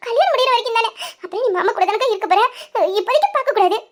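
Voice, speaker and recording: Woman playing a gentle coaxing younger sister, soothing, very high voice. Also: lively intonation, very fast, a little hiss or hum. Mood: comforting. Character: caring younger sister comforting her sibling. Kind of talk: dramatized audio story.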